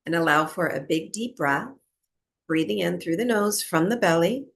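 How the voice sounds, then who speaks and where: A woman teaching breathwork, guiding a meditation in short, unhurried phrases with long pauses between them. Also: on the low side, vocal fry. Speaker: breathwork and yoga nidra teacher. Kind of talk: guided meditation, slow soothing instruction.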